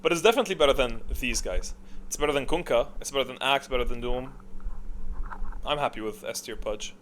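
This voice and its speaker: A man with a mid-pitched voice gives casual, opinionated gaming commentary. He muses out loud and questions his own calls as he goes. There is some noise behind the voice. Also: a little fast. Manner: musing, thinking aloud, self-questioning. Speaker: gaming video creator.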